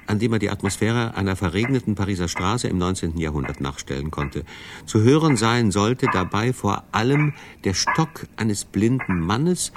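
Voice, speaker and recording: A man with a low voice narrates a radio documentary from a script. It is a studio recording with faint music at times.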